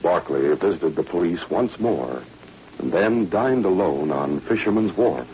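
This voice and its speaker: A man's voice: low-pitched, expressive, measured pace, mellow. A man narrating a scripted drama in dramatic 1940s radio style. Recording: muffled, noisy old-time radio recording.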